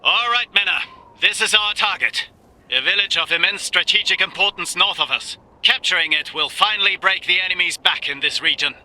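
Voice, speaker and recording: An actor playing a brash, arrogant, impatient knight officer in a war game, boastful and dismissive. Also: male voice, sits high, brisk, pushed and bright, low-quality recording. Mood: confident, boastful. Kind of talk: dramatic military game acting.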